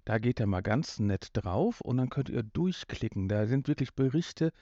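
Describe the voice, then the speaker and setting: A man's voice: medium pitch, lively intonation, normal speed, warm tone. A man in his fifties from North Rhine-Westphalia hosting a casual podcast in a rambling, jokey way. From North Rhine-Westphalia, Germany. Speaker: podcast host. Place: room in a family home. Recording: dull, roomy home recording.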